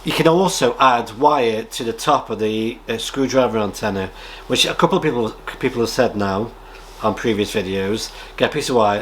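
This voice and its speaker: A British man chatting casually as a radio hobby vlogger, rambling in a halting way and often clearing his throat. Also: fairly low pitch, a bit bright, a little hiss or hum. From United Kingdom. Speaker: amateur radio vlogger and presenter. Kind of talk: casual rambling chat, reading out viewer comments.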